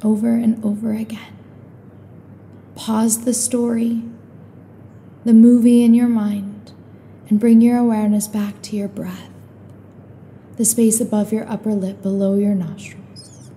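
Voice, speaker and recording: Slow, very mellow woman guiding meditation. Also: fairly high pitch, slight background noise. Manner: calm, soothing, unhurried with long pauses. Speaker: meditation guide. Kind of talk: guided meditation, slow calm instruction.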